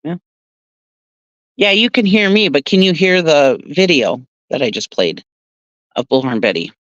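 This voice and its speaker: Chatty, rambling woman with a low voice. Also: animated, measured pace, no room sound. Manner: chatty, rambling, laughs often. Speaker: channel host reacting to clips. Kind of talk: casual livestream commentary. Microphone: phone. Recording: dry, dull lo-fi sound.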